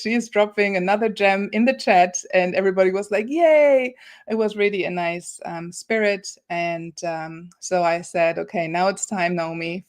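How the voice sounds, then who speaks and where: A woman's voice: on the low side, darkish tone. A woman moderating an informal online panel in a conversational way, often voicing little quotes as she retells things. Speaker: host and moderator. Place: office, on a video call. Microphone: video call.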